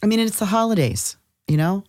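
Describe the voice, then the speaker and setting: A woman's voice: medium pitch, expressive, a little fast, darkish tone, no room sound, studio quality. A woman co-hosting an astrology podcast, chatting casually and emphatically in short bursts. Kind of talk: casual conversational astrology talk.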